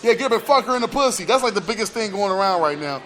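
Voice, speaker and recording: High-voiced American man in animated sports banter. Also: very fast. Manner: animated, repeats words and phrases for emphasis. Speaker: sports radio show co-host. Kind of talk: casual, slangy sports banter.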